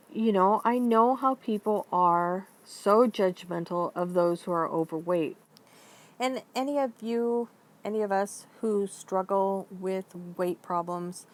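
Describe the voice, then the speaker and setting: Female vlogger, medium pitch, conversational and emphatic, loose rambling opinion delivery. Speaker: online video commentator. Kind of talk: casual opinion vlog, venting.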